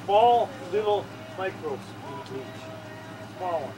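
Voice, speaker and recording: A man's voice: high voice, animated, slow pace, over loud music. A man chatting casually and in a rambling way outdoors on a camping trip. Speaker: camper on a family trip. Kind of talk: casual chat. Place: outdoor campsite by a lake. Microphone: camcorder microphone. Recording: noisy camcorder audio outdoors.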